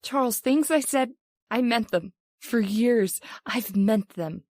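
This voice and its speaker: An actress playing an intense, pleading middle-aged wife, long-suffering and frightened, in an old-time radio horror drama. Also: female voice, a little high, slow, a little mellow, dry recording, clean studio sound. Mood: frightened, insistent.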